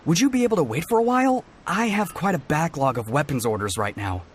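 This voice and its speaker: A man's voice: on the high side, expressive, fast, a little hiss or hum, close to an everyday voice. A man playing a polite, deferential apprentice swordsmith in a video game, formal and apologetic.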